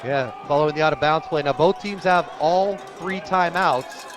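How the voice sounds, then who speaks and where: American guy, pretty high voice, live football play by play on radio, loud crowd behind him. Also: moderate pace, music in the background. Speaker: play-by-play announcer. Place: outdoor high school football stadium. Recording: loud crowd behind, outdoor stadium broadcast. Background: crowd noise.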